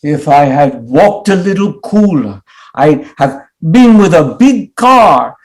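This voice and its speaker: An earnest immigrant dreamer, acted by a man in a stage drama, with a fairly high voice that swings widely. He speaks very slowly and haltingly, wistful yet stubbornly hopeful. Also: very animated, a little mellow. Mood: regretful. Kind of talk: stage play reading.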